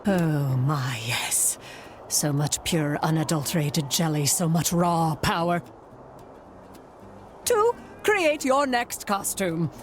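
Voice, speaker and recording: A woman's voice: low voice, very animated, slow pace, a little hiss or hum, full character voice. A woman playing a dramatic, mystical fortune teller in a cartoon game, grandiose in her delivery. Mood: enraptured, mysterious. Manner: theatrical, grandiose.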